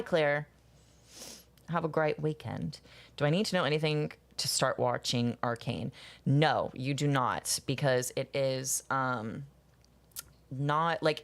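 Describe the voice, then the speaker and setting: Low-voiced young woman chatting casually. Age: 20s. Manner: rambling, profane, laughs often, answers chat. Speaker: streamer chatting with viewers. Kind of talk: casual chat while drawing.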